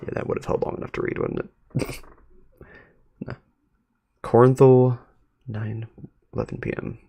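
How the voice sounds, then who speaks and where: A man's voice: fairly low pitch, huge pitch swings, moderate pace, creaky, dark tone, husky. A man doing a casual live reaction, musing informally and chuckling as he reads and comments. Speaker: streamer reacting to a horror web series.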